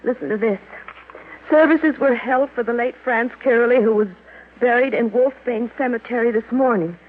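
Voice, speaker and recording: A woman's voice: fairly high pitch, normal speed, phone-quality sound, close to an everyday voice. A woman acting the anxious, questioning wife of a fugitive in a 1940s radio drama. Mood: suspicious, uneasy. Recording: thin, noisy old radio recording.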